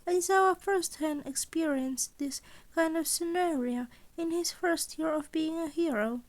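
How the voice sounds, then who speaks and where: A woman voicing a calm, scheming teenage boy acting as principal, speaking confidently in a lecturing way. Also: very high voice, measured pace, pitched above the performer's own voice.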